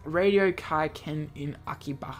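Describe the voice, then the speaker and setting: A man's voice: a little high, measured pace. A man hosting a let's play, reading a visual novel aloud with off-the-cuff asides and stumbles over words. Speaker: gaming host. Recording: music behind the voice.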